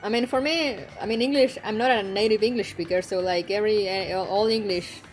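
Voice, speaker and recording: Brief: young woman streamer, Spanish-accented English, giggly rambling chat, soft music underneath. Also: medium pitch, a little fast, low-quality recording. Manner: giggly and rambling, often laughing between lines. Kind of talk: casual live-stream chat with viewers.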